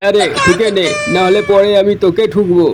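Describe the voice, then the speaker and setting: A man's voice: sits high, little pitch movement, fairly quick, noisy background, natural voice. A man voicing a hot-tempered, bullying policeman in a comic cartoon dub, scolding and threatening in exasperation. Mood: irritated, threatening. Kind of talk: comic Bengali cartoon dubbing.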